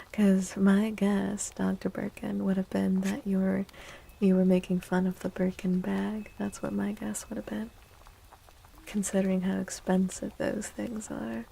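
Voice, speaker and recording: A soft-spoken woman with a breathy, creaky voice hosts an ASMR livestream. Her delivery is slow, gentle and soothing, often sinking close to a whisper. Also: mid-range voice. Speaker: livestream host and tarot reader.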